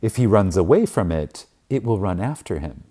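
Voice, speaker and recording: A man narrating a spiritual audiobook in a reverent, emphatic manner with deliberate dramatic pauses. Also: sits low, very animated, warm tone.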